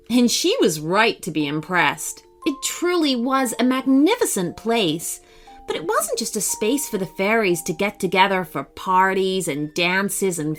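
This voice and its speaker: A woman narrating a scripted children's bedtime story in an expressive storyteller's manner. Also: mid-range voice. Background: faint music.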